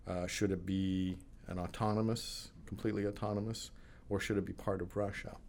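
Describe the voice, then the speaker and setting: A man with a very low voice answers interview questions in a measured way, pausing on fillers. A faint hiss of noise sits under the recording. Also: little pitch movement, creaky, a little mellow. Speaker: pollster.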